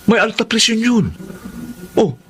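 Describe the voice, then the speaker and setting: High-pitched, defensive Filipino husband. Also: male voice, unhurried, fairly bright tone, a little hiss or hum. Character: Fernan, defensive husband torn between wife and mother. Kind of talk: emotional radio drama acting.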